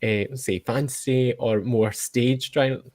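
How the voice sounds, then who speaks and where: A man's voice: mid-range voice, steady tone, a little slow, a little mellow. Informal man hosting a chat. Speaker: host, audio drama producer and actor. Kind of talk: casual interview chat.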